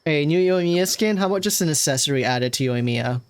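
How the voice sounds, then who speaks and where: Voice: medium pitch, lively intonation, fairly quick, a little hiss or hum. A gaming streamer giving casual, slangy, bemused reaction commentary over gameplay. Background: loud game music.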